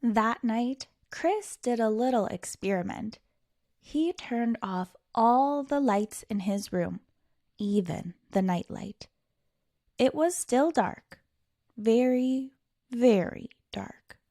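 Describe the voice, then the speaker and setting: A woman reading a children's picture book aloud as a storytime reader, theatrical, with dramatic pauses and stretched words for emphasis. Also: fairly high pitch, expressive, warm tone, no echo, clean studio sound.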